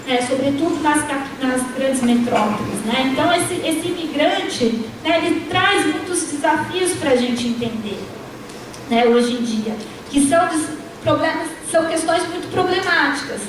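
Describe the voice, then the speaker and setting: High-voiced woman lecturing from afar. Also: expressive, measured pace. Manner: frequent tag "né", restarts mid-word. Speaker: university lecturer on a panel. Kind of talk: academic panel talk with slides. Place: university lecture room. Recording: distant, echoey lecture hall with noise.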